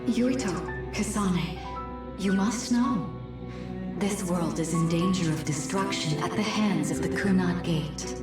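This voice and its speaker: A woman voicing a machine that poses as a mother in a video game, solemn, measured and coaxing, with reverb on her voice. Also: on the low side, loud music behind the voice. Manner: slow, solemn, measured pleading. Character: machine posing as a dead mother, serene and coaxing. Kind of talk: dramatic video game voice acting. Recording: heavily echoing, distant-sounding game audio.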